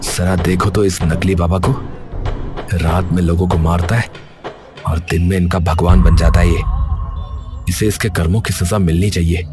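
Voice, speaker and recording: Man acting low-voiced young village guy, music behind. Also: steady tone, normal speed, pauses often, close to an everyday voice. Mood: indignant. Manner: colloquial, buddy-like address. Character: young village man, suspicious friend in cartoon story. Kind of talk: cartoon story dialogue. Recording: background music under the voice.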